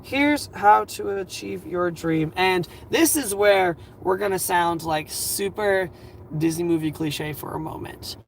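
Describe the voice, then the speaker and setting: A man's voice: high-pitched, creaky. Young man, tired scratchy voice, rambling. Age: 20s. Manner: rambling, halting, many fillers and restarts; earnest, reassuring. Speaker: livestream host. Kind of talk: casual livestream chat giving advice.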